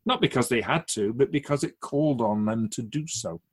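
A man playing a wise, otherworldly spirit in a radio drama, patient and gently teasing, speaking in a measured, formal way with musing questions. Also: medium pitch, husky, natural voice. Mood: musing, encouraging.

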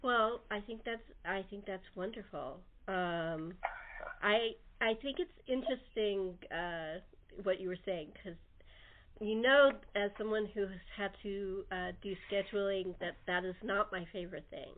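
A woman's voice: mid-range voice, unhurried. A woman hosting a casual interview, speaking in an encouraging, agreeable way. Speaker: host and producer. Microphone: telephone or internet call. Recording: thin phone-line sound.

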